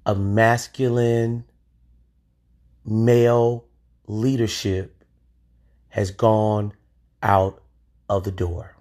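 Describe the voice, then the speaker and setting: A man's voice: on the low side, little pitch movement, very slow, long pauses, a little mellow. A man in his forties hosting a solo podcast, talking in an emphatic, opinionated way with occasional swearing. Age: 40s. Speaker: podcast host.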